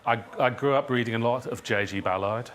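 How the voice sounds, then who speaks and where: A man answering audience questions as a writer at a talk, thinking aloud in a halting, self-deprecating way. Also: mid-range voice, a bit bright. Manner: reflective, halting, hedging with self-deprecating asides. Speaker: guest speaker, writer and dramatist.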